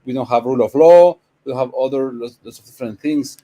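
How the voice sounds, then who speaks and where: Male panelist, Argentine Spanish accent, mid-range voice, informal and halting explanatory delivery. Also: mid-range voice, mellow.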